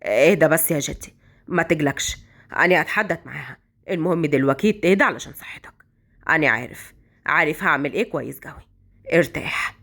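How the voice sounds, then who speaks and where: A woman voicing a calm, respectful young man. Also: deep voice, very fast, pitched below the performer's own voice.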